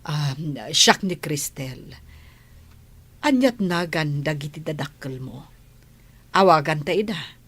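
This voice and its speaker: A woman playing a kindly, concerned lady with a husky voice, asking questions in a motherly way in an Ilocano radio drama. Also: sits low, lively intonation, slow, long pauses, a bit bright, heavily acted. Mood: gentle concern. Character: kindly, concerned adult woman helping a runaway girl.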